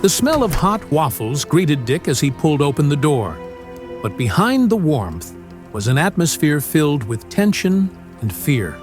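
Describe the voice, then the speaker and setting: A man's voice: fairly high pitch, very animated, a little slow, darkish tone. A man narrating an audio drama, reading scripted story prose in a dramatic style. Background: music.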